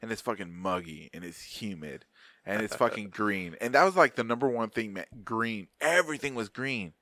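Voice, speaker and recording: A man's voice: fairly low pitch, lively intonation, moderate pace, bright, forceful tone, no echo, clean studio sound. A man in his thirties from Arizona, hosting a podcast in loose, rambling casual chat, swearing freely and laughing often. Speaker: podcast host.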